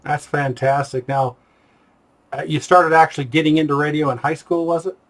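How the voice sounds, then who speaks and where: A man's voice: a little high, steady tone, normal speed, fairly bright tone. A man hosting a casual, conversational interview and putting questions to his guest. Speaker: interviewer, host.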